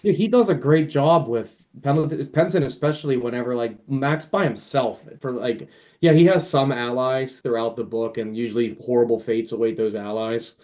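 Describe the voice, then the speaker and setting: A man with a medium-pitched voice chats informally. He talks like a keen fan in an easygoing podcast conversation, with loose conversational phrasing. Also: moderate pace, darkish tone. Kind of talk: casual podcast book chat.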